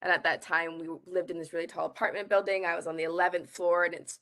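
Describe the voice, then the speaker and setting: A woman's voice: fairly low pitch, flat delivery, fast, fairly bright tone. A young woman from the Bahamas, a climate activist guest answering interview questions earnestly, with frequent "like" and "I think". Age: young adult.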